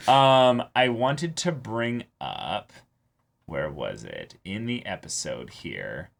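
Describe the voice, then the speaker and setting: A man co-hosting a reaction show, casually analysing a story in an explanatory way, often halting to search for words. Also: on the low side, very animated, vocal fry. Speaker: co-host of an anime reaction show.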